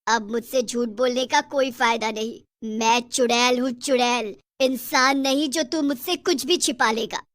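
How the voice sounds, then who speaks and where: Woman playing a cackling high-voiced witch. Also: steady tone, measured pace, few pauses, fairly bright tone, dry recording, studio quality. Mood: menacing, taunting. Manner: cackling, taunting. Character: gloating, bossy, selfish female witch who kills people. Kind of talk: Hindi horror cartoon story acting.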